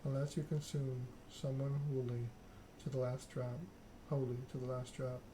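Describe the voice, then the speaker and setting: A man's voice: mid-range voice, level tone, moderate pace, background noise, close to an everyday voice. A man voicing a refined, worldly female vampire mentor in a story game, speaking in a courteous, instructive way. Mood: grave, instructive.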